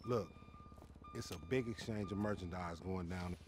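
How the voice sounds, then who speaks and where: An actor playing a boisterous, friendly young street hustler in a video game, with an American accent and slangy, swaggering delivery. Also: male voice, low-pitched, normal speed, music in the background, natural voice. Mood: scheming, persuasive.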